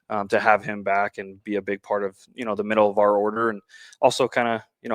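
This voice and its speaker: A Canadian man speaks as a coach in a sports interview, in a low voice with very little melody. He pauses often and feels his way through his answers. The sound is dry, with no room around it. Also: a bit bright, smooth, no echo. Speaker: baseball coach being interviewed.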